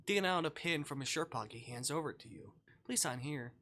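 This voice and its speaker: Animated, bright-voiced man streaming a game. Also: a little high, brisk, a little hiss or hum. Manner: informal, jokey, swearing, reacting to the story. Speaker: live streamer. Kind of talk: reading a visual novel aloud with casual asides.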